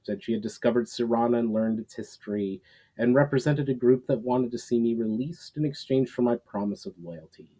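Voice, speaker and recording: A man's voice: medium pitch, fairly quick, mellow, lightly acted, rough recording. A man voicing a weary, repentant fallen warlord in a fantasy story, with formal, earnest, world-weary phrasing. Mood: reflective.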